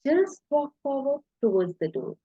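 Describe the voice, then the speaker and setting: A woman leading a guided hypnosis relaxation in a soothing, deliberate way, pausing between short phrases, with a husky, breathy voice. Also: high voice, very animated, no room sound, phone-quality sound.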